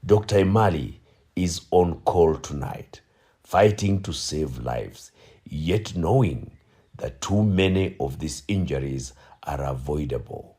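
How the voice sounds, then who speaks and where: Male actor as radio play narrator, theatrical, solemn, raspy, unhurried. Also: very deep voice, lively intonation, dark tone, exaggerated voice. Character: grave, dramatic male narrator of a road tragedy. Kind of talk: dramatic scripted narration.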